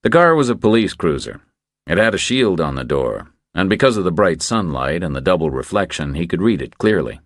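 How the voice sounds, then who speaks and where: A man's voice: sits low, very animated, a little fast, no echo, clean studio sound. A man reading a thriller novel aloud as scripted audiobook narration.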